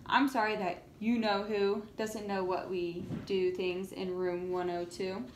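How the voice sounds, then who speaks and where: A woman reading as an earnest, indignant young schoolchild in a storybook, with expressive emphasis. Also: medium pitch, unhurried, natural voice. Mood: put out. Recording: echoey room, faint background noise.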